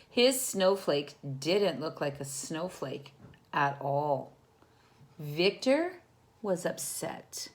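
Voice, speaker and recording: A woman teacher reads a picture book aloud to young children. Her voice sits a little low and moves at a very slow pace, with big swings in pitch. She speaks to the children directly, encouraging them and putting questions to them.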